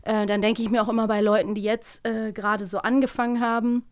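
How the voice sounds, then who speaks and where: A woman's voice: fairly high pitch, little pitch movement, a little fast, clear, resonant tone. A woman chatting casually, often rambling and breaking off her own sentences to rephrase them. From Germany. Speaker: voice actress and podcast co-host. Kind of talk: casual chat.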